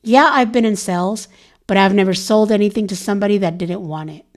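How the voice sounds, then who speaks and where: A hoarse woman vlogging in a casual, rambling chat to her viewers, full of "you know" fillers. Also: medium pitch, fairly quick.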